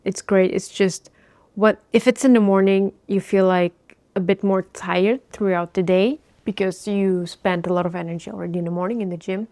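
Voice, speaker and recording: A woman in her thirties, originally from Bulgaria, giving a thoughtful personal life update and often pausing to search for words. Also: mid-range voice, steady tone, warm tone, no room sound. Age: 30s. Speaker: vlogger.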